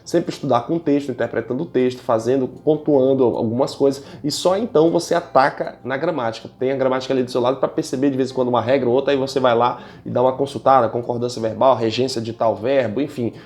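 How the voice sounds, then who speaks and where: A young Brazilian man teaching exam prep in a casual video lesson, animated and slangy, talking straight to his viewers. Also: mid-range voice, fast talker, hardly any pauses. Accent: Brazilian Portuguese. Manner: animated, slangy and direct, addresses viewers as "gente" and "cara".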